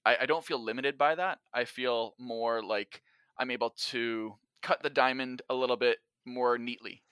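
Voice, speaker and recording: A man speaking as an interview guest, explaining his thinking in casual, measured conversation with examples. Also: mid-range voice, fairly even intonation, a bit bright, clean tone, dry recording, studio quality. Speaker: podcast guest, audio drama writer and actor.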